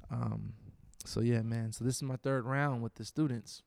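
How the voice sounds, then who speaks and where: A man's voice: medium pitch, fairly even intonation, normal speed, creaky, darkish tone, no room sound. A man co-hosting a podcast, chatting casually and backing his partner with short agreeing replies. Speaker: podcast co-host, college presenter. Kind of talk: casual chat.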